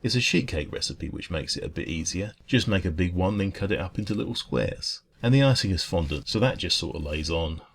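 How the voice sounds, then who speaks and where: A man voicing a friendly, food-loving dragon who bakes at a café, chatting gently and earnestly in a rambling ASMR roleplay. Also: sits low, lively intonation, a little fast, creaky, few pauses, slightly rough, full character voice. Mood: chatty, easygoing. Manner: gentle, rambling, hesitant, earnest enthusiasm about baking. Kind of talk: ASMR roleplay, soft casual chat.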